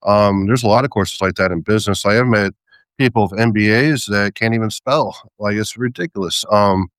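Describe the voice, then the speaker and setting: Hesitant man with a smooth, low voice. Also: a little fast, a bit bright, dry recording. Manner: hesitant, many ums and restarts, light laughs. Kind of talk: casual conversation.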